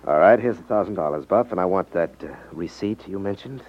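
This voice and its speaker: An actor playing a tough, determined private detective, terse and firm, in a 1950s radio drama. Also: male voice, low-pitched, brisk, slight background noise, close to an everyday voice. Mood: curt. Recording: muffled 1950s radio recording.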